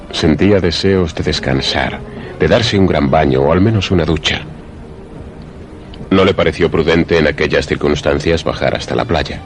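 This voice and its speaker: Very deep-voiced male suspense narrator. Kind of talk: scripted suspense narration for radio theatre.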